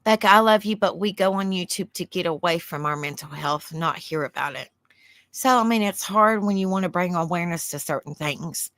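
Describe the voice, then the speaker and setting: Soft-spoken Southern woman streaming live. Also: fairly low pitch, brisk, no echo. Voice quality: soft, soothing voice. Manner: chatty and rambling, answers viewer comments. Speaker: livestream host. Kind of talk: casual livestream chat, reading aloud.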